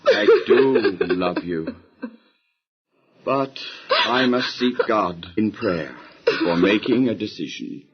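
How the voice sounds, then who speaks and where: An actor playing a devout, worried biblical patriarch and husband in a dramatized Bible audio drama. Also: male voice, lowish voice, lively intonation, takes it slowly, highs cut off. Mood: firm, tender.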